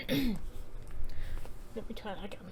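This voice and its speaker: A woman doing casual video game playthrough commentary with informal, chatty asides. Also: unhurried. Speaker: gaming video creator. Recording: faint background noise.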